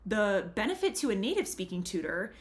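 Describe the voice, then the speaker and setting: An earnest language tutor from the United States talks casually to her viewers. Her voice sits at an everyday middle pitch, and her explanations are friendly and a little rambling. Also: sing-song, a little fast. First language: English. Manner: chatty and earnest, leans on "really" and "super". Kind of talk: casual advice talk to camera.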